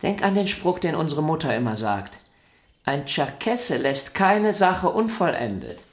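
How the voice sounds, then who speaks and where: Vain, stubborn violinist in echoey room. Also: male voice, on the high side, sing-song, unhurried. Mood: haughty. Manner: self-important, argumentative, theatrical. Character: vain, stubborn, celebrated male violinist arguing with his sister. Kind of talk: German radio play acting. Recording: roomy indoor echo.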